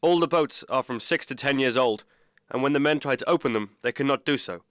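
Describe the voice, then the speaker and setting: An actor playing an indignant seafarers' union secretary of 1912 in a radio drama. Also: male voice, medium pitch, a little fast, a bit bright, no room sound, studio quality, close to an everyday voice. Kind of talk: radio drama quoted statement.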